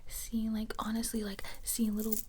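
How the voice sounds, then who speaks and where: A woman telling a casual story in a breathy ASMR whisper, repeating phrases for effect. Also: fairly high pitch, completely flat delivery, normal speed. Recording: lo-fi, loud noise, clicks.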